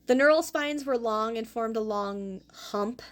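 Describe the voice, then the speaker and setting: A young adult woman hosting a fossil lesson as a paleontology teacher, explaining clearly and going carefully over hard names. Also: a little high, a little slow. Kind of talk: educational fossil presentation.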